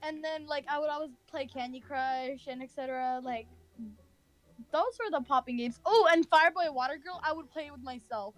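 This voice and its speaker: A woman's voice: high voice, normal speed, dry recording. A young American woman telling a personal story casually, in a rambling way peppered with "like". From California, United States. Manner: rambling, heavy use of filler "like".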